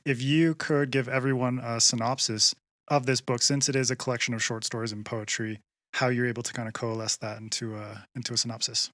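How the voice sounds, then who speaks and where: A man's voice: medium pitch, little pitch movement, brisk, fairly bright tone, no echo, clean studio sound. A man hosting a casual interview, encouraging his guest with brief replies and easygoing prompts. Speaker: podcast co-host, interviewer.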